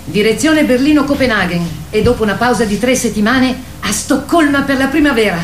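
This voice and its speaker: Wild goose leader, voiced by a woman: terse, assured, fairly high, echoey, for radio play. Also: expressive, brisk, close to an everyday voice. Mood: assured, commanding. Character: laconic, self-assured wild goose leading the journey. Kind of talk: theatrical radio drama dialogue. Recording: echoey room, noisy background.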